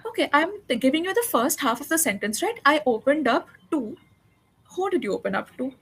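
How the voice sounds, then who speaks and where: High-voiced encouraging woman tutor. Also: big pitch swings, brisk, a bit bright. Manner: encouraging, patient, explains grammar step by step. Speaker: English tutor. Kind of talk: one-on-one spoken English lesson.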